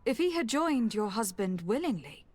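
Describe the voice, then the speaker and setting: A woman's voice: fairly high pitch, big pitch swings, normal speed, a little mellow. A young woman playing a courteous, composed noble envoy in a video game, speaking formally and asking probing questions. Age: young adult. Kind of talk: dramatic game dialogue.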